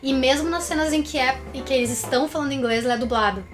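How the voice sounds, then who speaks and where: Casting: Brazilian woman, higher voice, explanatory and opinionated review co-host, music underneath. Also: rapid-fire. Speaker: co-host of a film review show. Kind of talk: conversational film review and analysis. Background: background music.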